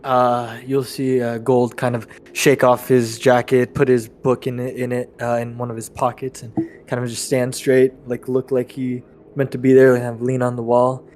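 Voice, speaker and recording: A man playing a tabletop game, casually describing his character's actions in a halting, informal way. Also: medium pitch, monotone, darkish tone, slight background noise.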